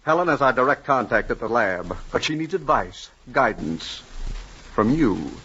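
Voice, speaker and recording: An actor playing a sly, domineering Communist boss with a commanding, deliberate manner in a 1950s radio drama. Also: male voice, medium pitch, very animated, bright, forceful tone, slight background noise. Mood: insistent, scheming. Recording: dull lo-fi 1950s radio broadcast.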